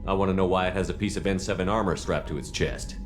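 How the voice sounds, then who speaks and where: A man's voice: low-pitched, steady tone, fast, over music, lightly acted. A man playing a resolute, decisive spaceship commander in a video game cutscene. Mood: firm, deliberate. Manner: decisive, authoritative orders.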